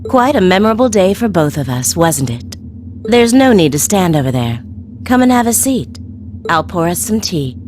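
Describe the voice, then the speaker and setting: Coy, teasing, low-voiced woman villain. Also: very animated, moderate pace, darkish tone, soft background music. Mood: genteel, playful. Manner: coy, teasing, sing-song. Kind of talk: video game voice acting.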